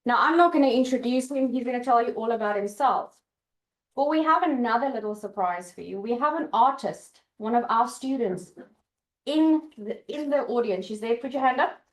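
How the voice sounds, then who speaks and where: A woman's voice: on the high side, normal speed. A woman organizer introducing the keynote speaker and announcing event details at a conference. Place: conference hall. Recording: echoey conference hall.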